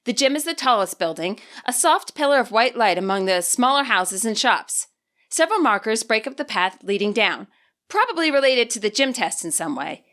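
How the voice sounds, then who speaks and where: A woman reading her own fan fiction aloud as an audiobook, with expressive storytelling. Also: on the high side, moderate pace. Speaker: fanfiction author reading her podfic. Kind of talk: audiobook narration.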